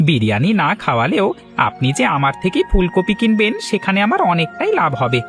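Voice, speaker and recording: An honest, eager cartoon vegetable seller from a village, voiced by a man. He is polite, with a high, animated voice and quick delivery. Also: over music. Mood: grateful, polite. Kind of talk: cartoon voice acting.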